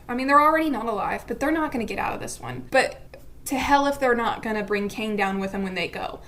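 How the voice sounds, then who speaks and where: A woman's voice: a little high, a bit bright. A woman leaving a casual, emphatic fan commentary as a listener voice message. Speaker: listener sending in a voice submission.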